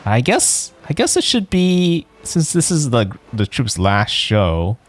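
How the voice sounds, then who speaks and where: A man streaming a video game with casual, hesitant commentary, musing aloud in halting phrases. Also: mid-range voice, very animated. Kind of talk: casual let's play commentary.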